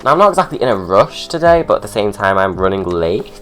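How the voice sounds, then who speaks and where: A man's voice: fairly low pitch, very animated, fast talker, a bit bright, soft background music. A young man with a stuffy cold, chatting sarcastically through a drag makeup tutorial at home. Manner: chatty, sarcastic, ranting asides.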